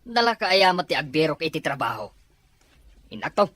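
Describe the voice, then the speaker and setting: A man playing a grumpy, jobless gambling father in an Ilocano radio drama, with an Ilocano accent and a husky voice. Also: a little high, big pitch swings, fairly quick, fairly bright tone, no room sound. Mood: irritated.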